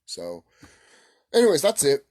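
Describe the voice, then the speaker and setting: A man hosting a casual, unscripted gaming vlog, rambling excitably, repeating himself and swearing casually. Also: on the high side, a little slow. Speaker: gaming video host.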